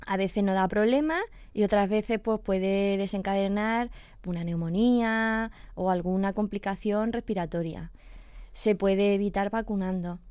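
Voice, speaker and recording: A woman's voice: medium pitch, a little slow, a little mellow, clean tone, no room sound, studio quality. A woman, a veterinarian explaining pet illnesses patiently on a radio chat, checking in with her listener as she goes. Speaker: veterinarian guest.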